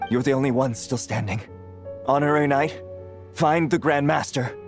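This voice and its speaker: An actor playing a gruff, blunt knight soldier. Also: male voice, mid-range voice, moderate pace, over music, natural voice.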